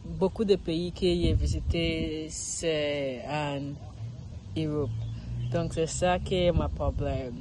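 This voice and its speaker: A woman's voice: low-pitched, steady tone, takes it slowly, background noise. A woman, recording a casual practice monologue outdoors in a park, searching for words and restarting often. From Ghana. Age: 30s. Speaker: vlogger learning French.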